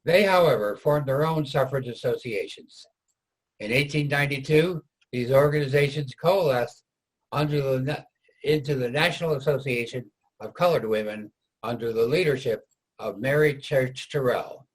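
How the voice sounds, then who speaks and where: Husky man narrating a history script. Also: mid-range voice, normal speed, low-quality recording. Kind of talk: scripted narration for reader's theater.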